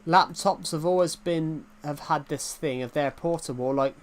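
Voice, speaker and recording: A man's voice: a little high, moderate pace. Rambling young man streaming games. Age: 20s. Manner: rambling, repeats himself, answers chat. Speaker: gaming streamer. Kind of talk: casual live-stream chat while playing.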